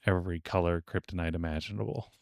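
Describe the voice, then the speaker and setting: A man co-hosting a casual podcast discussion, explaining things in a measured way. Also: low voice, fairly even intonation, vocal fry, a little mellow, no room sound, clean studio sound. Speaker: podcast co-host. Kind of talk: casual panel discussion of old radio serials.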